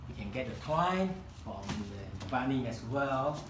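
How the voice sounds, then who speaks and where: A man whose first language is Chinese, leading a live kokedama-making demonstration in a room and often pausing to search for words. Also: mid-range voice, very animated, a bit bright, reverberant, slight background noise. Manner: halting, searching for words, many restarts. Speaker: kokedama workshop founder demonstrating. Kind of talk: live hands-on craft demonstration. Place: room at a home demonstration event.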